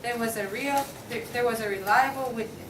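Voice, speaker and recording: A woman's voice: on the high side, brisk, natural voice. A young woman in a student table read playing a stubborn, hot-tempered, argumentative juror pig in a comic courtroom play. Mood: indignant. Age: young adult. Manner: argumentative, indignant. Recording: distant, echoey room with clear background noise.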